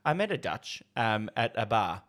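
A man co-hosting a casual movie review chat, playful and quick to laugh. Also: fairly low pitch, sing-song, fairly bright tone. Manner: playful, quotes lines and laughs. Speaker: podcast co-host.

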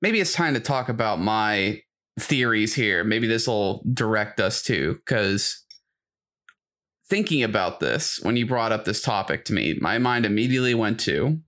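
A man's voice: lowish voice, lively intonation, moderate pace, fairly bright tone. A man co-hosting a podcast, talking casually and giving his opinions in a conversational way. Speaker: podcast co-host.

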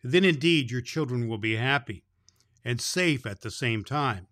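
A man voicing a proud, aging lioness in a children's story, haughty and deliberate. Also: mid-range voice, expressive, fairly bright tone, no room sound, studio quality. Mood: haughty, persuasive. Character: proud aging lioness, queen of beasts, loyal friend.

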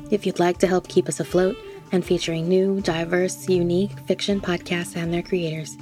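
A woman's voice: fairly low pitch, darkish tone. A woman reading scripted announcements and credits for a podcast. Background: music.

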